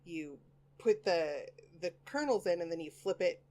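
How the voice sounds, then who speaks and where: A woman co-hosting a podcast from her home studio, loud and animated, swearing freely as she tells stories. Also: lowish voice, unhurried. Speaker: podcast co-host. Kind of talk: casual chat.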